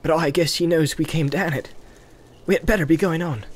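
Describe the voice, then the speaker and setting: Sam, a loyal plain-spoken hobbit servant, steady and homely, as a male reader puts him on for a fantasy audiobook. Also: on the high side, a little fast, breathy edge, pitched above the performer's own voice. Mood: wary. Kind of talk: audiobook character voice.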